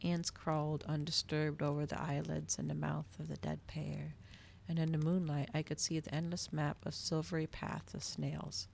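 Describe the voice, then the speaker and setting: Low, creaky-voiced woman reading softly. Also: level tone, moderate pace, slight background noise. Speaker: streamer reading a novel aloud. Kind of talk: ASMR storybook reading, soft narration.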